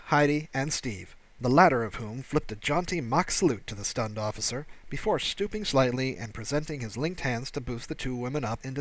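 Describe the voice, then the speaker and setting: A man's voice: medium pitch, a bit bright, dry recording. A man reading science fiction as an audiobook narrator in scripted storytelling style.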